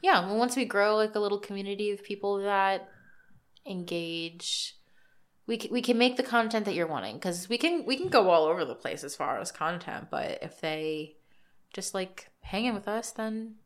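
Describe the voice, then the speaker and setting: A woman's voice: medium pitch, moderate pace. A woman co-hosting a podcast in casual chat, talking loosely with lots of filler words and a slightly congested, nasal sound. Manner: chatty, trailing filler words. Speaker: podcast co-host.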